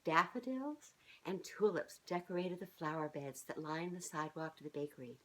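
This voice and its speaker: A middle-aged woman reading a children's storybook aloud in an expressive, sing-song way, as if speaking directly to young listeners. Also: low voice, normal speed. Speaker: children's author reading her own book aloud. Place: small living room, on a couch indoors.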